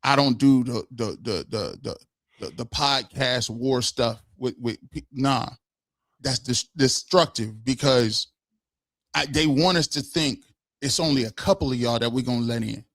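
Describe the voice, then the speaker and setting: A man hosting a casual live-stream podcast, talking in an animated, slangy way with frequent laughs. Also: mid-range voice, a little slow, dry recording. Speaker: podcast host.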